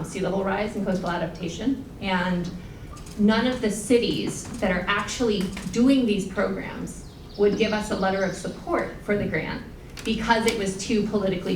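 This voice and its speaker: A woman panelist answering frankly in a conversational way, with fillers. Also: mid-range voice. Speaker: panelist and moderator. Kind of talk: panel discussion. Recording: roomy hall, far from mic, faint noise.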